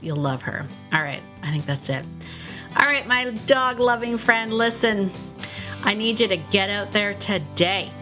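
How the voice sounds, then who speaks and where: A woman hosting a podcast, talking casually and directly to the listener in a chatty, encouraging, informal manner that often interrupts itself. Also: medium pitch. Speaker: podcast host and dog trainer. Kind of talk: casual solo podcast talk addressed to the listener.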